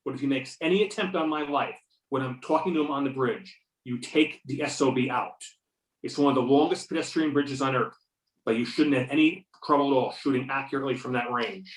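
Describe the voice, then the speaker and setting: A man's voice: medium pitch, fairly quick, lightly acted. A man playing a determined investigator in readers theater, matter-of-fact and commanding, over a remote call. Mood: firm, serious. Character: Beckett, determined male investigator giving orders. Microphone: remote videoconference or phone call. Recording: roomy, distant mic on conference call.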